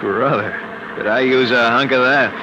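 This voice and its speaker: An actor playing an excitable male truck guard in a 1950s radio drama, often exclaiming. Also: mid-range voice, normal speed, close to an everyday voice. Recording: noisy lo-fi old radio broadcast.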